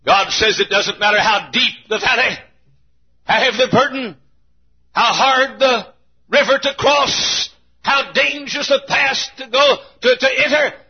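An American man preaching a fervent revival sermon in a raspy, straining voice, impassioned and often shouting in a repetitive cadence. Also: measured pace. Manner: impassioned, shouting, repetitive cadence, dramatic swings from low to loud. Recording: dull, muffled lo-fi sermon recording.

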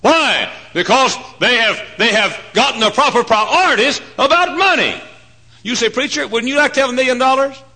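A man in his forties preaching a folksy, humorous sermon, swinging between hushed asides and loud emphatic points. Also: very high voice, expressive, moderate pace. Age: 40s. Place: church.

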